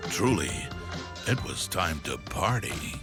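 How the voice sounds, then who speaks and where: A man's voice: deep voice, sing-song, slow pace, loud music behind the voice, exaggerated voice. A man playing a wry fatherly storyteller, narrating a humorous video game in a droll, theatrical way. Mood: fond.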